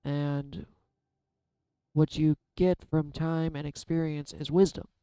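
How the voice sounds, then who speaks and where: A soft-spoken man musing aloud in a candid, self-deprecating way as he gives a casual tarot reading. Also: on the high side, flat delivery, slow, clear, resonant tone, no room sound. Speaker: tarot reader. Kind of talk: casual reflective vlog.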